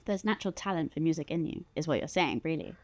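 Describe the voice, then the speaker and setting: A woman's voice: sits low, expressive, fast talker, no echo. A woman hosting an interview, asking questions casually and encouraging her guest with short replies. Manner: encouraging, many short backchannels. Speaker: host interviewer.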